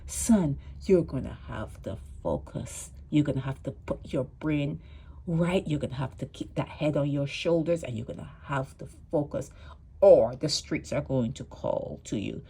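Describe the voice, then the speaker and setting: A woman's voice: low voice, a little mellow, breathy edge. A woman giving casual, emphatic commentary on a court case, repeating her points and checking in with "okay?".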